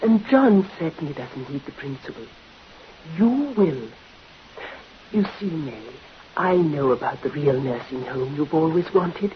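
A woman playing a gentle, frail, middle-aged invalid spinster in an old radio drama, earnest, plaintive and a little hesitant. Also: deep voice, animated, a little slow. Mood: gently insistent. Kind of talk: 1950s radio drama acting. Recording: muffled old radio recording, faint hiss.

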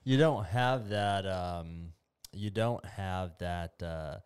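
A man interviewing a gym member in a relaxed, friendly way, explaining things with frequent restarts. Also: low voice, takes it slowly, smooth. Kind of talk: casual interview.

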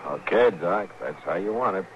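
An actor playing a steady, watchful US marshal in a 1950s radio western, plain-spoken and dry. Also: male voice, low-pitched, moderate pace, highs cut off. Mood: relaxed banter. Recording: noisy vintage 1950s radio recording.

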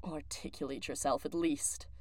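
A woman playing a guarded, brooding alchemist in an audio drama, curt and defensive, choosing her words in a measured way. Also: medium pitch, lively intonation, a little mellow, slight background noise, close to an everyday voice. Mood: defensive, irritated.

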